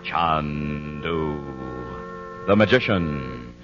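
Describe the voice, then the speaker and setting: A man's voice: a bass voice, animated, extremely slow. A man announcing and selling in a polished, salesmanlike 1940s radio style. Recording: dull vintage radio recording with music.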